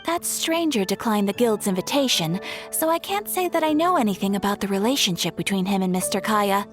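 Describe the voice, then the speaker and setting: A woman's voice: medium pitch, animated, a little fast, soft background music, lightly acted. A woman playing a courteous, helpful young guild receptionist in an English game dub, speaking politely and formally with encouragement. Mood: polite, encouraging.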